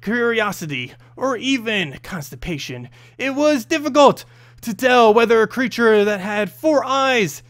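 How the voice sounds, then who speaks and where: A man's voice: high-pitched, fairly bright tone. A man hosting a channel and reading a story aloud in an amateur, casual way, stumbling now and then. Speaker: channel host and story reader.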